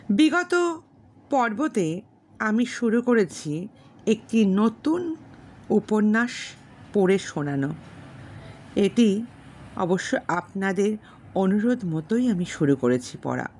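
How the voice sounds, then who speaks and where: A woman narrating a classic novel as a storyteller, formal and measured, pausing between short phrases. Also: medium pitch. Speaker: storyteller and channel host. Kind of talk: audiobook narration of a classic novel with spoken introduction.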